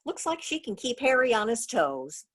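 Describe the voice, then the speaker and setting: A woman playing a gently nagging, coaxing small-town mother in an old-time radio play. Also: a little high, sing-song, moderate pace. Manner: coaxing, direct.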